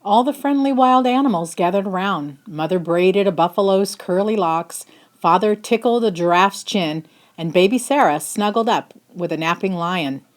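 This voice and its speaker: A woman narrating a children's picture book in an expressive storytelling style. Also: medium pitch, moderate pace. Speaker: storybook reader.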